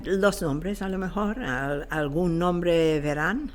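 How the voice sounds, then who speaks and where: Older woman, slow and halting. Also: on the low side, a little hiss or hum. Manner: halting, searching for words. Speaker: podcast guest. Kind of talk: interview answers, reflective.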